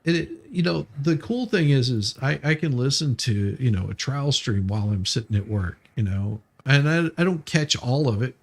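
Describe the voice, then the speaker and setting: A man with a mid-range, gravelly voice full of fry chats casually as a live streamer. He rambles in a jokey way and doubles back on his words. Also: mid-range voice, expressive, moderate pace, few pauses. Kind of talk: casual livestream chat.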